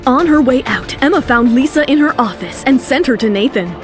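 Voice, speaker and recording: Female narrator for audio drama, high register, dramatic scripted read, light music bed. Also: animated, fairly quick.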